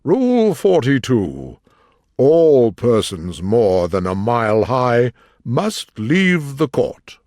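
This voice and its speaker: A man's voice: medium pitch, wildly swooping pitch, takes it slowly, a little mellow, no echo, clean studio sound. A man voicing a pompous, anxious storybook King presiding as judge, with a grave, emphatic and ponderous manner. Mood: solemn. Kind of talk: storybook character voice.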